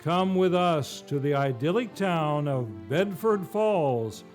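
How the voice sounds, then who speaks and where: A man's voice: fairly high pitch, lively intonation, slow pace, a little mellow. A man hosting a live vintage-style radio show with a showy, grandly enunciated announcer delivery. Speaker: host and announcer. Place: theater stage, live show. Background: faint music.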